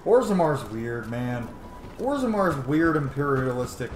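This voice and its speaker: A man's voice: mid-range voice, very animated, a little slow. A man giving casual, rambling let's-play commentary, gushing and swearing freely as he goes. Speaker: gaming streamer playing and commenting. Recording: roomy echo with faint background noise.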